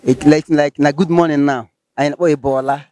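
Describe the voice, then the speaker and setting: A man's voice: a little high, fairly even intonation, normal speed, darkish tone, no echo, clean studio sound. Hesitant Nigerian man with a pidgin accent. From Rivers State, Nigeria. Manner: hesitant, many fillers and restarts. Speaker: interviewee. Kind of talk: casual street interview.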